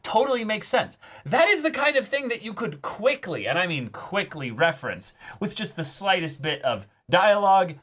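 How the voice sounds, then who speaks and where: A man's voice: high-pitched, big pitch swings, moderate pace, hardly any pauses, fairly bright tone. A man co-hosting an anime reaction show, talking through his thoughts in a casual, emphatic, analytical way.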